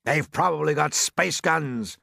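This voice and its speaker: A man voicing a bossy, alarmed chief of police in a storybook, with loud, drawn-out exclamations. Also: fairly high pitch, gravelly, no room sound, clean studio sound, voice pushed up, full character voice. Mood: grim.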